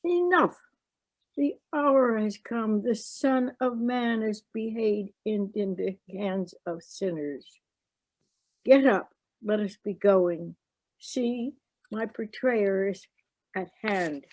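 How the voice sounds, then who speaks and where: A woman reading the part of Jesus as a grave, sorrowful teacher in a solemn, deliberate scripture reading. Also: mid-range voice, a little mellow, dry recording, dull audio. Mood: reproachful.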